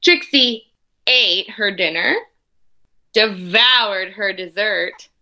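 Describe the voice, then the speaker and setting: Bright-voiced woman reading to children. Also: medium pitch, drawn-out words. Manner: expressive, explains and questions as if to small children. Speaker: teacher reading aloud to young pupils. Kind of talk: children's picture-book read-aloud with asides.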